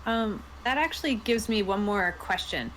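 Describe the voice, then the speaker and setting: A woman with an average-pitched voice co-hosts a casual roundtable review. Her way of talking is informal and playful. A slight noise runs behind her. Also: expressive, normal speed, a bit bright, poor audio. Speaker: host and reviewer.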